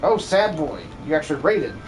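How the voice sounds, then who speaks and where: A man's voice: fairly high pitch, big pitch swings, normal speed, slight background noise, poor audio. A man chatting casually and jokingly as he streams a game, in an informal manner. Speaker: streamer and amateur voice actor. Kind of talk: casual livestream chat while gaming.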